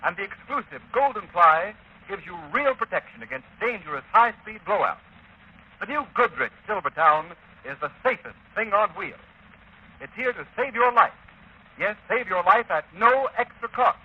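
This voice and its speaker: A man's voice: sits high, sing-song, normal speed, husky. A man announcing a scripted 1930s radio advertisement with an emphatic, selling delivery. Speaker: commercial announcer. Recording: dull vintage radio recording.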